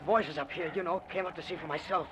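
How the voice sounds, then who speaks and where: Wary man, high-pitched voice. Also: steady tone, fast talker, a bit bright. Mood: wry, shaken. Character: wary, dry male intended murder victim. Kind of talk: old-time radio thriller acting. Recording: dull, noisy vintage radio recording.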